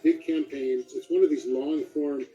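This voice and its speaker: A man's voice: a little high, fairly even intonation, normal speed, dark tone. A middle-aged man chatting casually as an interview guest, with a self-deprecating manner. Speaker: guest voice actor. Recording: dull, lo-fi audio.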